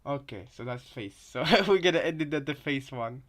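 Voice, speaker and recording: A man hosts a casual reaction video. He talks in a halting, rambling way, circling back and repeating phrases as he works through his commentary. His pitch sits in an ordinary middle range. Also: expressive, fairly bright tone. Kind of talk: casual commentary on game voice lines.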